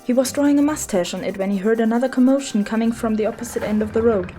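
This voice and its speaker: A woman's voice: fairly high pitch, quick pace, mellow, slightly rough. A woman reading a children's story as its narrator, in a scripted storybook style. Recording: music behind the voice.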